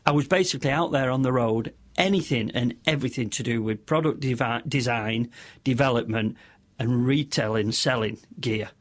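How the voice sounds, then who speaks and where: Man, squeaky voice, middle-aged, chatty radio interview guest, lots of fillers and restarts. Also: moderate pace. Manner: rambling, self-deprecating storyteller with many restarts and fillers. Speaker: radio interview guest, motorcycle stunt show compere.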